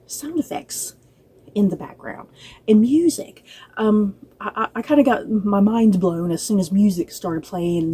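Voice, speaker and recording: A woman's voice: medium pitch, warm tone, a little hiss or hum. A woman giving a casual, chatty spoken review, talking directly to her listeners in a conversational way. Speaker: book and film reviewer.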